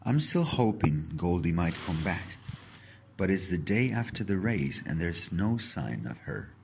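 A man narrating a children's story aloud in an expressive, dramatic way. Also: deep voice, measured pace, vocal fry, a little hiss or hum.

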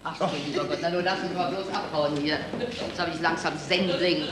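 A woman's voice: on the low side, fairly even intonation, brisk, lightly acted. An actress playing a nagging, exasperated woman tourist in a radio crime play. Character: exasperated woman tourist bickering with her travel companion. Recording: echoey, noisy 1970s radio recording.

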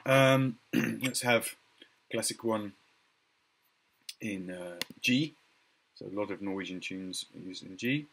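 Croaky middle-aged man, hesitant, lowish voice. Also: slow, pauses often, a little hiss or hum. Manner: relaxed, hesitant with many ums and uhs. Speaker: music shop owner showcasing instruments. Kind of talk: casual product demo video. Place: home music room indoors.

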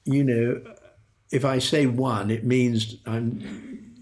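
An older man, a veteran voice actor, reminiscing in an interview with a halting, repetitive way of talking and soft chuckles. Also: mid-range voice, fairly even intonation. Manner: halting, repeats words, chuckles softly.

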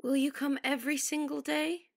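A woman's voice: sits high, level tone, normal speed, gravelly. An actress playing a sulky, spoiled young girl in an audio drama, whining her complaints. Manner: sulky, whiny. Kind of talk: amateur audio drama acting.

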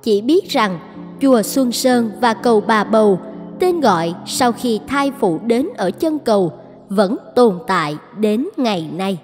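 A woman's voice: fairly high pitch, expressive, a little slow, a little mellow, faint music behind. A woman narrator reading a scripted story. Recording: long hall reverb.

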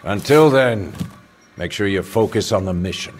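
An actor playing a calculating commander in a video game cutscene, speaking in a measured, formal, quietly menacing way. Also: male voice, fairly low pitch, lively intonation, slight background noise, lightly acted. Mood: veiled threat. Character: calculating male commander offering veiled threats and deals.

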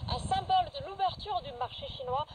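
A female correspondent reads a scripted news report in a high voice. She sounds far off, coming over shortwave radio under very loud static. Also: lively intonation, fast.

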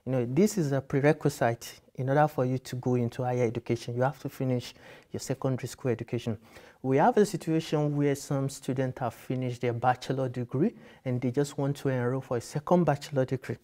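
A man's voice: mid-range voice, steady tone, a little fast, dark tone. Patient man explaining an application form. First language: Yoruba. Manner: patient, explanatory, repeats and rephrases with restarts. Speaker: university admissions guide. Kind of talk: screen-share tutorial walkthrough. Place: office with computers, indoors.

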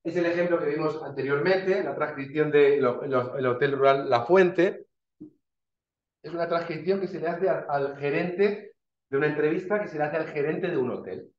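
A man's voice: on the high side, normal speed. A male university lecturer, explaining slides informally with everyday examples and frequent restarts. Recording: dull, roomy lecture recording.

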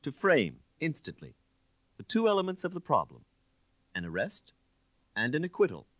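Guy doing a posh-talking proud gentleman thief for an audiobook, grand and boastful, pitch swooping about, dry sound. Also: wildly swooping pitch, moderate pace, dry recording. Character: suave, boastful gentleman thief, theatrically self-satisfied. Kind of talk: audiobook character reading.

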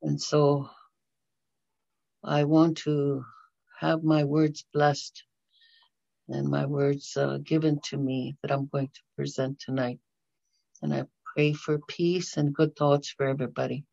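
An older woman whose first language is Dakota, speaking in a measured, halting way over a video call from a small bedroom. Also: deep voice, flat delivery, gravelly, dark tone, no echo. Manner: measured, halting, with frequent pauses. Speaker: community presenter. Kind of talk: prayer and talk. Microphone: video call webcam. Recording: slightly dull lo-fi video call audio.

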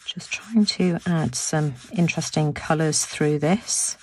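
Croaky low-voiced woman doing a chatty craft tutorial, husky, dry close sound. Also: takes it slowly, a little mellow, dry recording. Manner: chatty, thinking aloud with restarts. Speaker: craft video host. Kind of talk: casual craft tutorial narration. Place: home craft room, tabletop.

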